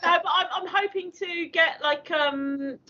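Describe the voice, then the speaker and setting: An older woman with a South London accent chats away as a stream guest, full of fillers and asides. Her voice is high and sharply bright. The sound comes through a little dull and muffled. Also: measured pace. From South London, born in Surrey. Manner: chatty, with frequent fillers and laughs. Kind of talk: casual chat.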